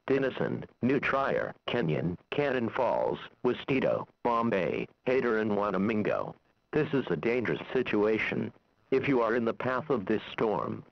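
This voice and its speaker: A synthetic male weather radio announcer reads bulletins in a formal, measured and impersonal way. His voice sits at a medium pitch. The sound is dull and lo-fi like a radio broadcast, with a very dry, roomless feel. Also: no room sound. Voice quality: synthesized text-to-speech voice. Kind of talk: scripted weather warning bulletin.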